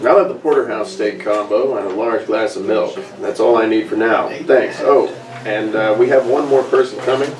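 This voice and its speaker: A man's voice: lowish voice, normal speed, a crowd in the background, natural voice. A man playing a serious, duty-bound space ranger leader in a dry, matter-of-fact way at a table read. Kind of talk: informal table read of a sci-fi script. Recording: echoey room, distant mic, clicking noise.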